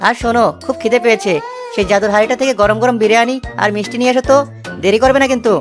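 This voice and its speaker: A foolish, food-loving young village man from a cartoon, voiced by a woman. He whines and lazes in a fairly high voice, carefree and dreamy, with soft music underneath. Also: fairly even intonation, rapid-fire, lightly acted. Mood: drowsy, bossy. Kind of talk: cartoon story voice acting.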